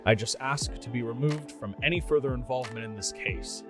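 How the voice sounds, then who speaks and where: A man's voice: medium pitch, fairly quick, over music, natural voice. A man playing an exasperated middle-aged police officer reading out an official report in a radio play. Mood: weary, appalled. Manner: official, dry, flustered asides. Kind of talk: scripted radio play monologue, formal report.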